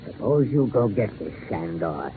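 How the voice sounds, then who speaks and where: An actor playing a squat, frog-faced gangster villain, obsessed and vengeful, who talks with gloating, deliberate menace in 1940s radio style. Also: male voice, on the low side, very animated. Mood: sly, gloating. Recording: noisy narrow-band 1940s radio recording.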